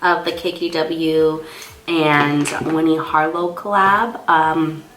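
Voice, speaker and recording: Low-pitched young woman with a stuffy cold. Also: fairly even intonation, takes it slowly, a bit bright. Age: young adult. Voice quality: slightly stuffy, congested. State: has a cold. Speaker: makeup artist and beauty vlogger. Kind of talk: casual makeup tutorial chat. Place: small indoor room. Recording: roomy echo.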